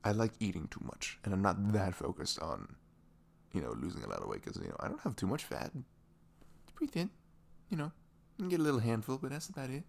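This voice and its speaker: A man playing a sweet, caring boyfriend in an intimate ASMR roleplay, rambling casually and playfully with breathy asides that drop into whispers. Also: fairly low pitch, sing-song, quick pace. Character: sweet, caring boyfriend chatting the morning after. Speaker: audio roleplay performer.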